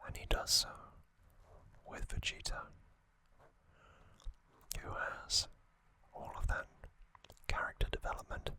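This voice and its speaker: Slow, whispering man hosting ASMR. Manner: soft whisper, slow, with hesitant fillers. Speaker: ASMR host.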